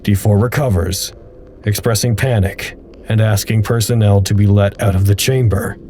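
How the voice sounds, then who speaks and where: An actor playing a detached research log narrator, reading formal reports in a precise, impersonal way for an audio drama. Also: male voice, lowish voice, steady tone, normal speed, dark tone, a little hiss or hum, close to an everyday voice. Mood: flat clinical.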